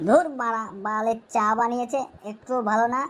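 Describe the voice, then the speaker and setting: A woman's voice: a little high, fairly even intonation, fairly quick, lightly acted. A woman voicing a nagging, whiny, foul-mouthed friend craving a smoke in a comic cartoon. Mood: demanding, irritated. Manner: foul-mouthed, demanding, pleading.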